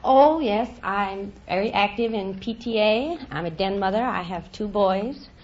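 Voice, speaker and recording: Eager New housewife on a quiz show. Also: female voice, medium pitch, a little slow. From Staten Island, New York. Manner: eager, talkative. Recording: muffled 1950s radio transcription.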